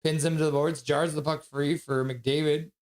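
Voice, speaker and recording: A man's voice: on the high side, level tone, a little mellow. A man hosting a live stream, calling the action in casual play-by-play and reading chat messages aloud. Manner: reads chat messages aloud and chats back; quick, offhand running description of the action. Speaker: livestream host.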